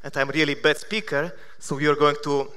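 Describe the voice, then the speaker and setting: A man in his thirties from Bulgaria with a Bulgarian accent, giving a conference keynote with self-deprecating deadpan humor. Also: on the high side, moderate pace, clear, resonant tone. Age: 30s. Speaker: conference speaker. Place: large conference hall.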